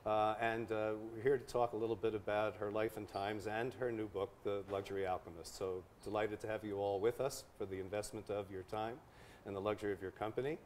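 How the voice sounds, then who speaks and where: Man, interview host, lowish voice, encouraging, hesitant fillers, faint hiss. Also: steady tone, brisk. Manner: warmly encouraging, many "uh" fillers and short backchannels. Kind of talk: hosted interview.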